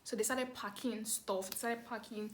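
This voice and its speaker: A woman with a Nigerian accent telling a story casually to camera, animated and dramatic, repeating words for emphasis. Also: on the high side, moderate pace, slight background noise. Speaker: vlogger telling a family story.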